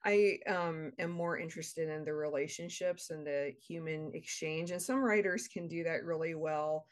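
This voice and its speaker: A woman chatting casually as an interview guest, thoughtful and halting as she explains herself. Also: low voice, fairly even intonation. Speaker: guest playwright.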